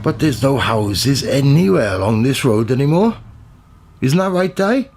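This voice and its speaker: Man playing a chatty road worker, very lively pitch. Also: measured pace, a little hiss or hum. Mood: friendly, chatty. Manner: colloquial, blunt, chatty. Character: matter-of-fact, grumbling working man gritting roads. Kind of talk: radio play acting.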